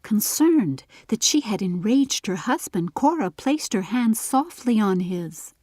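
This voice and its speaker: A woman narrating a fairy-tale audiobook in a measured, expressive storytelling style. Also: medium pitch, no echo. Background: music.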